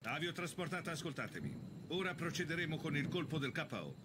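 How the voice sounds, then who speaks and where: A man playing a stern American army officer giving a firm, measured briefing in a game dub. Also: a little high, big pitch swings, heavy background noise. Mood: firm, urging.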